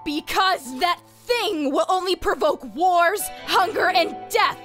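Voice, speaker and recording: Very high, bright anime schoolgirl. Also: slow, full character voice. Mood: alarmed, dramatic. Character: sweet, naive, self-doubting anime schoolgirl. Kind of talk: anime parody voice acting. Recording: long reverb, music behind.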